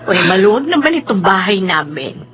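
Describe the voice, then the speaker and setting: A woman's voice: medium pitch, very animated, fairly quick, fairly bright tone, lo-fi sound. A woman playing a caring, anxious middle-aged mother, emotive and pleading, in a Filipino radio drama. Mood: consoling. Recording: faint music and crowd behind.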